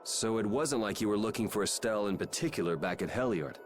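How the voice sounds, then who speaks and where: A man's voice: lowish voice, lively intonation, quick pace, lightly acted. Actor as a cool, blunt young swordsman. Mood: blunt, questioning. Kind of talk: scripted video game voice acting. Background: game music.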